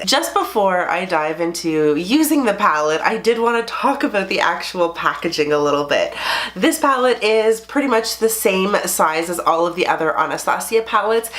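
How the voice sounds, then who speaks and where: A woman's voice: fairly low pitch, fairly bright tone, a little hiss or hum. A woman in her thirties with a stuffy, nasal cold voice, chatting casually as she reviews a palette and does her makeup in a small bedroom. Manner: chatty, explains each step while applying makeup. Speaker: beauty vlogger. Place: small bedroom with string lights, indoors.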